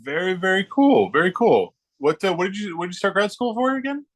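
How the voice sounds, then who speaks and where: A middle-aged American man talking casually as a podcast guest, easygoing and halting, with plenty of fillers. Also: high-pitched, very animated. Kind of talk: casual chat.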